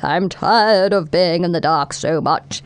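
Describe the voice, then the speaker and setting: A woman voicing a slow, weary male earthworm in a storybook, speaking in a deep, drawn-out, hollow tone. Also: clear, resonant tone, no room sound.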